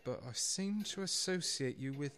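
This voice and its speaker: A man's voice: fairly high pitch, lively intonation, fairly bright tone. A man acting in a radio play as a self-important, formal financier who is really a flustered servant. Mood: bewildered. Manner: formal, halting. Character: self-important married financier, secretly a butler, flustered and servile. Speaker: actor in an amateur radio play.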